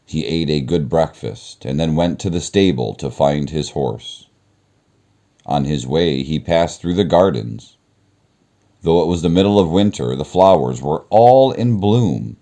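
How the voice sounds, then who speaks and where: A man reading a children's story aloud as narrator, in a measured, expressive storytelling manner. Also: very deep voice, vocal fry. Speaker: storybook reader.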